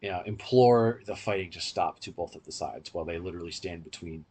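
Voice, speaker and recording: A man's voice: low voice, fairly quick, a little mellow, slightly rough. A man hosting a podcast, giving an explanatory, opinionated review in casual discussion. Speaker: podcast host.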